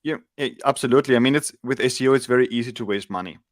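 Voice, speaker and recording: A man's voice: medium pitch, racing, no room sound, clean studio sound. A man in his forties from Austria, explaining things thoughtfully as a guest on a video call, with frequent restarts. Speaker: guest, SEO agency founder. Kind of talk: casual interview chat. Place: home room, indoors. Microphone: large studio mic on arm.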